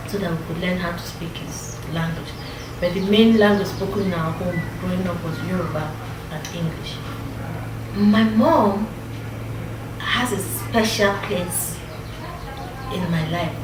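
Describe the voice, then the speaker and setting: Nigerian woman, 50s, slow thoughtful interview talk with lots of ums, mic far off in an echoey room, noisy. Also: lowish voice. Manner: reflective, unhurried, with many pauses and fillers. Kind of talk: personal life story in an interview. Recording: roomy, distant mic with background noise.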